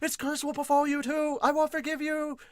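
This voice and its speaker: A man's voice: extremely high pitch, level tone, fairly quick, a bit bright, no room sound, clean studio sound, voice pushed up. A man voicing a frantic, stern manga swordsman, scolding and urgent.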